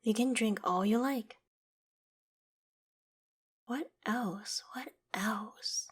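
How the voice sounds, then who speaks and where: A woman playing an ancient, haughty dragon in a theatrical ASMR roleplay, with deliberate, imperious phrasing. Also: on the high side, husky, heavily acted. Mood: excited, flustered. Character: ancient female dragon, haughty, menacing, curious about humans. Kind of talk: ASMR roleplay, dramatic monologue to the listener.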